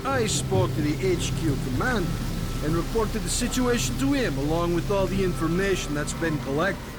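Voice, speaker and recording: A man playing a measured, authoritative military colonel in a video game, steady and burdened with responsibility. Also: high-pitched. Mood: businesslike. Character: commanding military colonel, steady, burdened, looks after his men. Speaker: military commander character.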